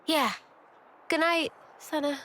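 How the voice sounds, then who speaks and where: A woman playing a reserved, self-conscious teenage girl soldier in a game cutscene, speaking softly and hesitantly. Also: sits high, fairly even intonation, takes it slowly, a little hiss or hum, exaggerated voice. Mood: awkward. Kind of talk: video game cutscene acting.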